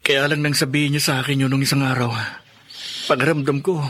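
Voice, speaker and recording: A wounded, dutiful grown son in a radio drama. His voice is raspy and sharp-edged at a middle pitch. He confides plaintively, hurt by his family. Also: level tone, quick pace, no echo. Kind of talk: Filipino radio drama acting.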